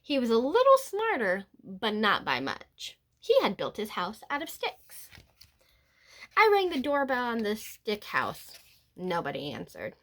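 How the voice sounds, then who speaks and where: A woman's voice: a little high, huge pitch swings, unhurried, a bit bright, heavily acted. A woman voicing a sly, self-excusing male wolf telling his storybook tale with dramatic, mock-innocent pleading.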